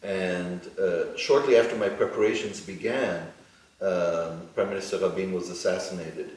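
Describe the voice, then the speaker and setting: A middle-aged man, born in Israel with Hebrew as his first language, answering interview questions deliberately with frequent ums and uhs. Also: low voice, fairly even intonation. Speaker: plastic surgeon, interview guest. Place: studio. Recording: echoey studio, mic far off.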